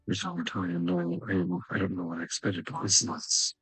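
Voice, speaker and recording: Low, husky man commenting on a game. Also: completely flat delivery, normal speed, fairly bright tone, dry recording. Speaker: gamer recording a playthrough. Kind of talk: casual game commentary in short asides.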